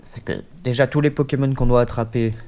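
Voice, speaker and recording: A man's voice: medium pitch, steady tone, fairly quick, warm tone. A man with a head cold, chatting casually through a gaming session at his desk mic, hesitant and full of fillers. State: stuffy, has a cold. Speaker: gaming YouTuber. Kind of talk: casual let's-play commentary.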